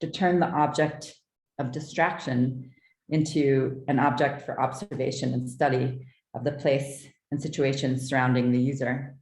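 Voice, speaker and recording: A woman from the southeastern United States presents her own art in a prepared talk, with measured, articulate, formal delivery. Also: very deep voice, fairly even intonation, darkish tone. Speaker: artist presenting own work. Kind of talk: prepared artist talk, read from notes. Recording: roomy, echoing sound.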